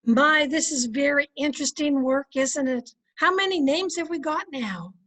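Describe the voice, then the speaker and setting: High female voice, cheerful patient wife, acted by a woman, gently correcting, radio comedy. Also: lively intonation, moderate pace. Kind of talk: old-time radio comedy acting.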